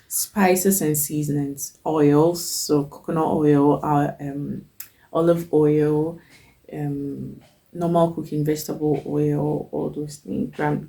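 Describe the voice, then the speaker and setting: A young woman talks slowly through a kitchen list, pausing on ums. Her voice is low and dark, with a gravelly edge, and she sounds stuffy from a cold. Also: steady tone. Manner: chatty, list-like, frequent ums. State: has a cold. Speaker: cooking channel host. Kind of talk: casual talk to camera.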